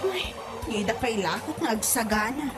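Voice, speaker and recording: A woman playing a nagging, excitable village wife in a comedy audio drama, with an Ilocano accent. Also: fairly high pitch, moderate pace, over music, close to an everyday voice. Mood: nagging, worried.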